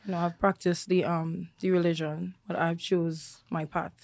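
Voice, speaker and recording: A young Trinidadian woman from the countryside who is a singer, talking relaxed and chatty as an interview guest, with many fillers and restarts. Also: lowish voice, moderate pace, no room sound. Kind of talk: casual interview chat.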